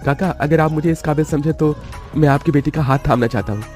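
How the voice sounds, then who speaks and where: A man's voice: fairly high pitch, fast talker, darkish tone, faint music behind, close to an everyday voice. A man playing an earnest, polite young suitor from a rich family in a cartoon moral story. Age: young adult.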